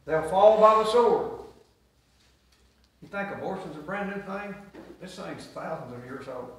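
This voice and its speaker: Middle-aged preacher, high voice, calls for amens, captured far off in a super echoey church. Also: male voice, measured pace, fairly bright tone. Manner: preaching with call-and-response. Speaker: pastor. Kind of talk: sermon. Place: small church sanctuary.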